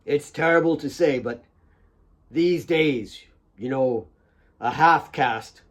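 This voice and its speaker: A man voicing a troubled doctor, grave and halting, upset but trying to stay composed. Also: mid-range voice. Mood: uneasy.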